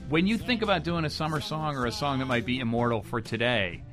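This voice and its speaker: Male radio host, middling pitch, chatty interview delivery, clear music underneath. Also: fast.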